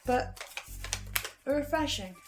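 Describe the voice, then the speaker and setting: A woman's voice: high voice, animated, drawn-out words, noisy background, pitched above the performer's own voice, heavily acted. A woman playing a troubled but defiant archivist in a horror audio drama, speaking in an earnest, hesitant, reflective way. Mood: uneasy, reflective.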